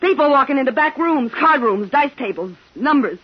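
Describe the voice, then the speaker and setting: An actress playing an anguished, defensive young widowed mother in a 1950s radio drama, with a husky voice. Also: high-pitched, animated, normal speed. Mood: agitated. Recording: dull 1950s radio recording.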